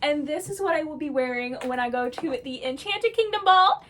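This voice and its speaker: A woman with a high, bright voice talks casually to her viewers about the characters she made up. She gushes and gets excited, and she fills her pauses with lots of "um"s. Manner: gushing and excitable, many "um" fillers. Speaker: storyteller vlogger.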